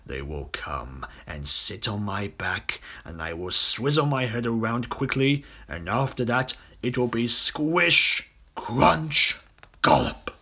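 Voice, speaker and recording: Storybook villain: male reader as a huge greedy crocodile, slow and rough-edged, plotting. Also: medium pitch, sing-song, bright, forceful tone, pitched below the performer's own voice, heavily acted. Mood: menacing, secretive. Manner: slow, drawn-out plotting to himself.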